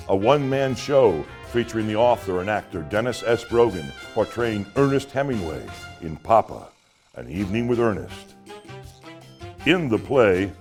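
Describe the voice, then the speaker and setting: A man narrating literary short stories as their author, in a dramatic audiobook style with deliberate phrasing and emphatic pauses. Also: lowish voice, darkish tone.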